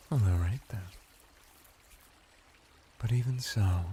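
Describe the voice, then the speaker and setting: A man voicing a flirty, calm merman bathhouse attendant in an ASMR roleplay, intimate and courtly, with formal, flattering speech. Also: low voice, gravelly, slight background noise, heavily acted. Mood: teasing, discreet. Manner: soft-spoken, intimate, formal flattering speech with lingering pauses. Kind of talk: ASMR roleplay addressed to the listener.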